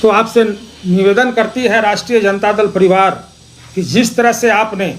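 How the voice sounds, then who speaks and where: A man's voice: high voice, fairly even intonation, moderate pace, slight background noise. A man giving an accusatory political speech, posing rhetorical questions and repeating phrases for emphasis. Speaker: opposition party politician.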